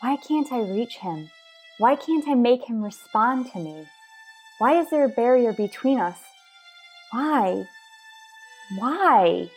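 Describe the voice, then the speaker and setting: A woman's voice: a little high, lively intonation, soft background music. Composed, worried radio soap mother played by a woman. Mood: anguished. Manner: proper, deliberate phrasing. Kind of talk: old-fashioned radio soap drama acting.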